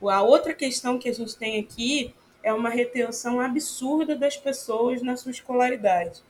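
A woman, giving a reflective interview answer and often pausing and repeating words as she thinks. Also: a little high, darkish tone, a little hiss or hum. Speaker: community church member.

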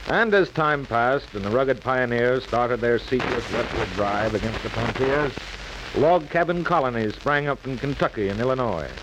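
A man's voice: medium pitch, expressive, moderate pace, lightly acted. A man narrating a 1940s educational radio program in a formal, declarative way. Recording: noisy vintage 1940s radio recording.

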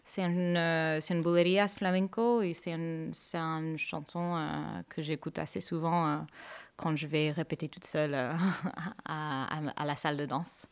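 A woman from Canada, a flamenco dancer, answering radio interview questions softly with many hesitations. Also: low-pitched, fairly even intonation, measured pace, clean tone. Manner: soft-spoken, many hesitations, light laughs.

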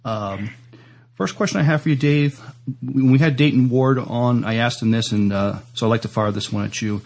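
A man hosting a podcast interview in a halting way, stumbling over his words as he introduces a guest and asks prepared questions. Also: medium pitch, gravelly.

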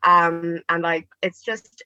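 A young woman chats on a live podcast, her voice a little low and bright-edged. She fills her talk with ums and likes and laughs easily. The sound is dry and a bit dull, like a lo-fi mic. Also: fairly even intonation, takes it slowly, smooth, no room sound. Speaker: podcast guest. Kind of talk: casual live podcast chat.

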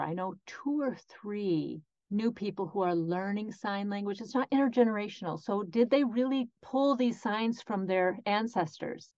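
A woman's voice: medium pitch, a little mellow, no room sound. Woman interpreter, dry muffled sound. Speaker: sign language interpreter voicing the guest. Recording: dry, slightly dull lo-fi audio.

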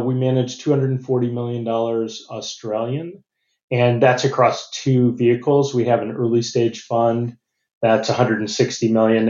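A middle-aged American man giving measured, businesslike interview answers and often restarting his phrases. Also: lowish voice, darkish tone, echoey room, highs cut off. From United States. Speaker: venture capital partner.

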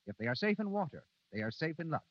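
A man announces in a fairly high voice, reading a commercial in 1930s radio style. The sound is dry and dull, like an old broadcast. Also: very animated, quick pace, dry recording. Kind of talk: 1930s radio advertising read.

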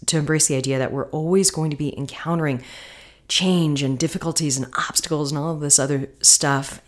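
A woman hosting her podcast, talking casually and encouragingly with a husky voice gone hoarse from singing. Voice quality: husky, deeper than usual. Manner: chatty, encouraging. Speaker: podcast host. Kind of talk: casual solo podcast talk.

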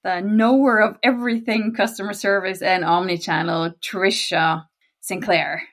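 A woman's voice: medium pitch, steady tone, a bit bright. A Norwegian woman with a Norwegian accent, co-hosting a podcast in casual chat, giggly and talkative. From Norway. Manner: chatty and giggly, talks fast. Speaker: podcast co-host.

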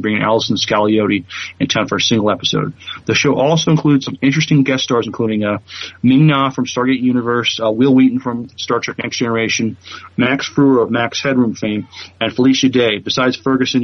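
A man co-hosting a podcast, reading news and chatting in a halting way, stumbling over words. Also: mid-range voice, no room sound, lo-fi sound. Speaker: podcast co-host.

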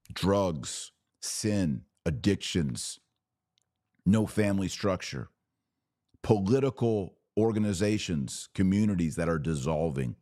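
Very deep-voiced male religious podcast host. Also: darkish tone, no echo, studio quality. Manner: earnest, emphatic, preacherly, direct address. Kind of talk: live religious commentary to viewers, with exhortation and prayer.

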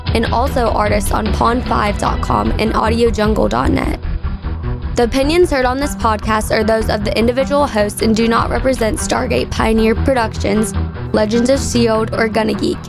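A woman's voice: fairly high pitch, steady tone, normal speed, vocal fry. A woman reading a scripted podcast outro as an announcer. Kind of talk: scripted narration. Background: music.

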